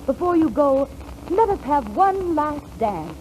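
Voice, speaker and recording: A woman playing a grand, sentimental noblewoman in a 1940s radio melodrama parody, slow, tender and theatrical. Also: sits high, very animated, a little mellow. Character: grand, sentimental noblewoman bidding farewell to adventurer. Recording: faintly noisy 1940s radio broadcast.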